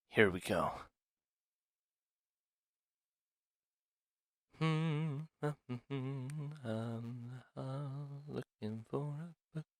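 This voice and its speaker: A man's voice: mid-range voice, gravelly, no echo, clean studio sound. A man playing an eager, obsessive young thief in an ASMR roleplay, speaking in an urgent, stammering, clingy way. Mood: hushed, conspiratorial.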